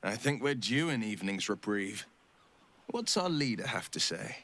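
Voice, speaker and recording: An actor playing a courteous, jaunty adventuring companion in a video game, with friendly phrasing. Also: male voice, on the low side, lively intonation, moderate pace, a bit bright. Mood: genial. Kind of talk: dramatic video game acting.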